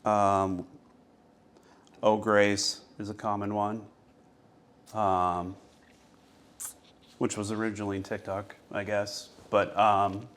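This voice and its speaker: A man chatting in a relaxed, dry way with his partner on a vlog. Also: lowish voice, slow, pauses often. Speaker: vlogger's partner. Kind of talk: casual chat.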